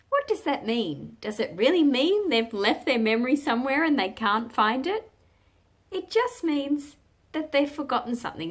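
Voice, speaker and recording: Expressive Australian woman reading to children. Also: on the high side. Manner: explanatory, asks listeners simple questions, measured phrasing. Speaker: children's read-aloud host. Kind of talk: read-aloud storytelling for children.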